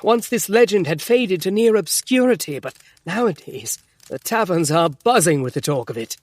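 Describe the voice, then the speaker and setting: An actor playing an earnest, excitable gentleman doctor in an audio drama, formal and emphatic as he tells his tale. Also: sits high, moderate pace. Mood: urgent, earnest. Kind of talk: full-cast audio drama acting.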